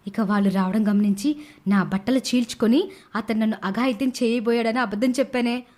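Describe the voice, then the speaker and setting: A woman acting a scheming young woman from a cartoon moral tale. Her voice is high and she speaks with dramatic urgency, desperate and calculating. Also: fairly quick, a little mellow, natural voice. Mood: urgent confessing. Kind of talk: animated moral story voice acting.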